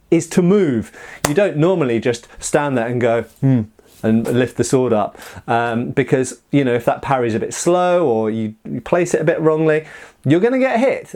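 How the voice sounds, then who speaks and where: A man's voice: medium pitch, a little mellow. A man hosting a conversational review, explaining techniques with firm opinions and emphatic asides. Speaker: host, martial arts commentator.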